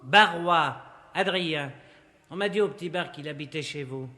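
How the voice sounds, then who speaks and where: A man's voice: on the high side, moderate pace, pushed and bright. A man playing a jovial, sly amateur sleuth in a French radio play, chummy and bantering yet insistent. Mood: polite, insistent.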